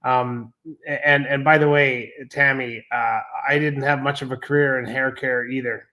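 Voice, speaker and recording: A man answers as a guest on a live video call. He is easygoing and casual, slipping in jokes. His voice sits at an ordinary male pitch. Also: steady tone, moderate pace, pushed and bright, poor audio. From Canada. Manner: easygoing, joking, you-knows. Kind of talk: interview answers.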